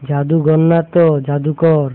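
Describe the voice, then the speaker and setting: A man as a flat-toned, high-voiced schoolteacher. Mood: questioning. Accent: Bangladeshi. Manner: measured, questioning, admonishing. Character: stern but kindly male village schoolteacher. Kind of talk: cartoon dialogue. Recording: muffled lo-fi audio, music behind.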